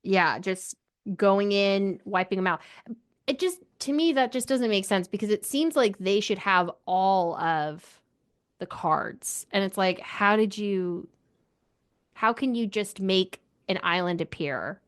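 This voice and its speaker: A woman's voice: medium pitch, animated, slow, smooth. A woman co-hosting a podcast, chatting casually about a book in an informal, opinionated and incredulous way. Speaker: book club podcast co-host. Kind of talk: casual book discussion chat.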